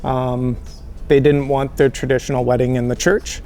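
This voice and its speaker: A man giving casual, friendly answers in an interview, relaxed and chatty with frequent ums. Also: mid-range voice, moderate pace, a little hiss or hum. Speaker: healthcare recruiter, interview guest.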